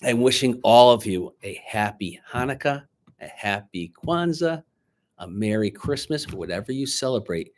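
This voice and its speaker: A man reading a picture book aloud dramatically to children, pausing to coax them with questions like a teacher. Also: mid-range voice, lively intonation. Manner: dramatic, teacherly, coaxing young listeners with questions.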